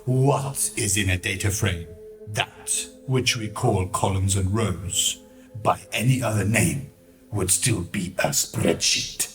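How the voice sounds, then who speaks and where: A man's voice: low-pitched, slow, a bit bright, faint music behind. A man playing a scheming theatrical marquis who narrates a radio play in a grandiose, declamatory way. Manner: grandiose, declamatory, mock-Shakespearean.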